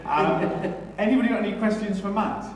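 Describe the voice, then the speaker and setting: A man's voice: sits high, brisk. A British man with an informal, chummy way of talking, interviewing on stage as a theatre director. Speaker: pantomime director hosting an interview. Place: large echoey room at a theatre launch event. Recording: very echoey hall, distant mic, faint noise.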